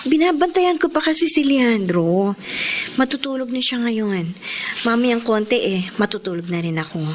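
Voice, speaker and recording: Actress as high-voiced, devoted, imploring woman. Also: animated, moderate pace, a little hiss or hum, natural voice. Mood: firm, devoted. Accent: Filipino. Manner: earnest, imploring, emotional. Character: devoted, pleading woman caring for her sick friend. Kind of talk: Filipino radio drama acting.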